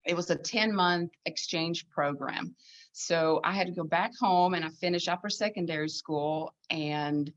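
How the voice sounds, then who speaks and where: A woman's voice: on the low side, a little slow, highs cut off. A woman in her fifties with a Swedish accent, chatting casually as a podcast guest. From Sweden. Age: 50s. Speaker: podcast guest, needlepoint designer. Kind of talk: casual chat.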